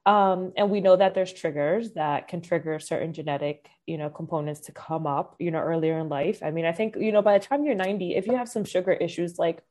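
A woman's voice: lowish voice, steady tone, a little fast, few pauses, a little mellow. A woman talking about health in a casual, emphatic conversation full of fillers. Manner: chatty, emphatic, frequent fillers. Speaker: health content creator.

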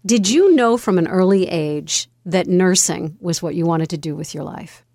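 A woman's voice: on the low side, lively intonation, moderate pace, dark tone, no room sound, studio quality. A woman hosting an interview, asking friendly, encouraging questions. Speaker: interview host.

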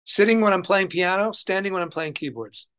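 A man's voice: high-pitched, a little fast, fairly bright tone. A man who plays keyboards on tour, chatting casually and enthusiastically as an interview guest, telling anecdotes.

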